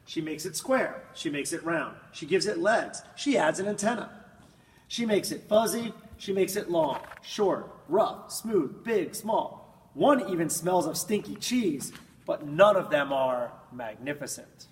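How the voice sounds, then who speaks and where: Echoey, higher-voiced man reading a storybook dramatically. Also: animated. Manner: dramatic, with drawn-out words and emphatic swells. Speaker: storybook reader. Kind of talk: expressive children's picture-book read-aloud. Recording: echoey room, distant mic, faint noise.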